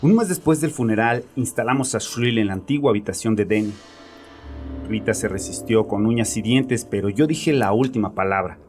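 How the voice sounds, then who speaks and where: A man's voice: lowish voice, moderate pace, a little mellow, faint music behind, close to an everyday voice. A man playing a bitter, domineering grieving father who confides in a therapist with agitated, sarcastic arrogance in a horror audio drama. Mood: agitated, self-justifying.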